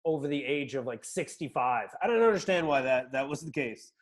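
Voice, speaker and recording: A man's voice: medium pitch, lively intonation, a little fast. A middle-aged man with an American accent in a casual, reflective conversation as the interviewer, thinking aloud informally.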